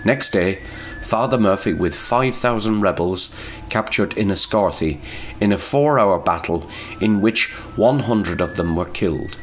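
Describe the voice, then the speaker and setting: A man reading a scripted historical narration in a measured, even storytelling manner. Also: lowish voice, a little hiss or hum.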